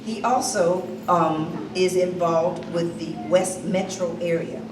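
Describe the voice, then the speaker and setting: A woman giving a loud, projecting speech about an honoree at a community reception, at times off script. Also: fairly low pitch, steady tone, slow pace. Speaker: speaker presenting an honoree. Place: hall at a museum reception. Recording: echoey hall recorded from far off with noise.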